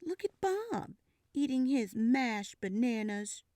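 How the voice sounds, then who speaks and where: Woman voicing a tired patient mother, storybook reading, lower calm voice, firm, slow, dry sound. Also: no echo.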